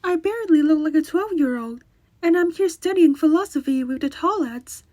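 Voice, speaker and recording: A woman's voice: high-pitched, lively intonation, normal speed, a little mellow, no room sound, studio quality. A woman acting in a radio drama as a small, boyish male college senior, blunt, cheeky and self-assured. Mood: friendly, frank. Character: tiny, plucky, blunt male college senior, looks twelve.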